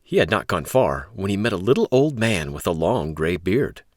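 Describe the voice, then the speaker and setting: A man hosting a read-aloud, telling a story to young children in an expressive, emphatic way. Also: low voice, moderate pace, dry recording, clean studio sound.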